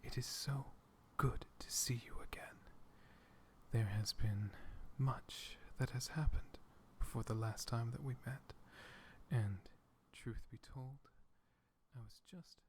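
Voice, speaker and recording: A man voicing a gentle, chatty dragon who soothes a hero toward sleep, speaking in a soft whisper for roleplay ASMR. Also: medium pitch, steady tone, slow pace, lightly acted. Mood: soothing. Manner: soft-spoken whisper with tongue clicking; chatty, slightly absent-minded rambling.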